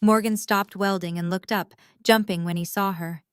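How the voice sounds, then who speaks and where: A woman's voice: mid-range voice, fairly even intonation, moderate pace, clear, resonant tone, dry recording. A woman reading scripted third-person narration for an audio drama.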